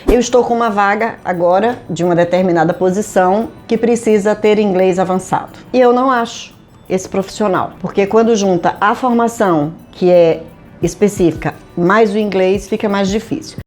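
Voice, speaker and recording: A woman's voice: medium pitch, moderate pace, a little mellow, faint music behind. Brazilian woman from Manaus talking to camera. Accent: Brazilian Portuguese, Manaus. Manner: conversational, rhetorical questions, emphatic. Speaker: HR consultant and career advisor. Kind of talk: direct-to-camera talk addressing viewers. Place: home studio in Manaus.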